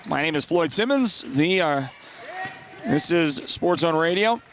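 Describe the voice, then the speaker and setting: A congested man with a partly lost voice, calling high school basketball play-by-play and chatting between plays. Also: animated, measured pace, crowd noise. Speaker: play-by-play announcer.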